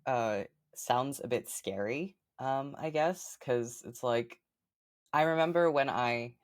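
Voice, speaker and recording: A woman with a fairly deep voice, a podcast guest chatting casually and upbeat, with lots of ums and likes. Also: slow, no room sound, clean studio sound. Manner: chatty, upbeat, many fillers like um and like. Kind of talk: casual interview chat.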